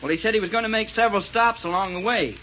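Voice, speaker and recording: An actor playing an eager, deferential radio bureau operator in a 1930s radio serial. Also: male voice, high-pitched, fast, pushed and bright. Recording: muffled 1930s radio recording, faint noise.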